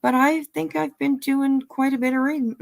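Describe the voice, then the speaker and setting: A woman's voice: high voice, fairly even intonation, normal speed, vocal fry. A woman hosting a casual livestream commentary, talking bluntly and emphatically, repeating phrases for emphasis and swearing casually. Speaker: livestream host.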